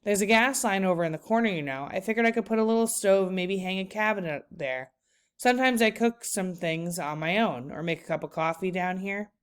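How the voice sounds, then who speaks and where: A kindly, handy old grandfather from a storybook as a woman reads him aloud. The voice is clear and smooth, and he talks in a folksy, easygoing way, gently coaxing with steady optimism. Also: medium pitch, fairly quick, natural voice. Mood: persuasive.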